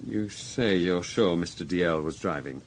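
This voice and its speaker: An actor playing a steady, dutiful police detective, tactful and matter-of-fact, in a radio drama. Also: male voice, deep voice, moderate pace, slight background noise. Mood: measured.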